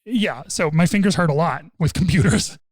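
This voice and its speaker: A man from suburban Toronto speaking as a podcast guest, relaxed and wry, with many restarts. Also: on the high side, normal speed, no echo. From Toronto suburbs, Canada. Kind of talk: casual interview talk.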